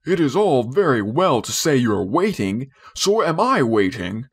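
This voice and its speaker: A man's voice: mid-range voice, sing-song, measured pace, slightly rough, voice pushed up, heavily acted. Man acting a pompous, sulky storybook father. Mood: indignant. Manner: blustering, self-important. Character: pompous, boastful, sulky middle-aged father. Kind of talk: storybook character voice.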